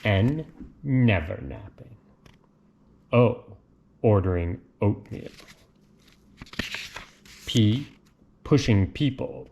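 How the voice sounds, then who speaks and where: A man reads a children's storybook aloud in a deliberate, lilting way, with casual asides. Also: fairly low pitch, expressive, gravelly, warm tone, muffled recording, a little hiss or hum. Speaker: reader of picture books.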